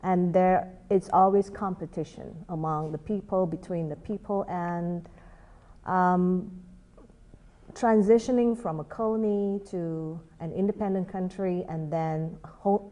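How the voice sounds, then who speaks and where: A middle-aged woman, a college instructor, answers interview questions in a thoughtful way, sometimes restarting her sentences. Her voice sits a little low and sounds mellow.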